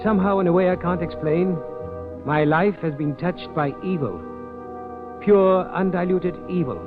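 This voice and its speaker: A man's voice: high-pitched, normal speed, lightly acted. An actor playing an anxious, melodramatic man in a 1940s radio drama, speaking in florid, ominous phrases. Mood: desperate, ominous. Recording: thin, tinny 1940s radio recording, faint music.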